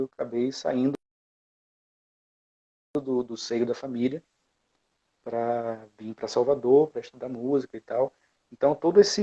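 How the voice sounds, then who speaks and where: A man's voice: mid-range voice, warm tone, breathy edge, muffled recording. A man from Bahia, talking as an interview guest about his path as a music educator, reflective and full of fillers and restarts. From Brazil, Bahia. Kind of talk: casual interview talk.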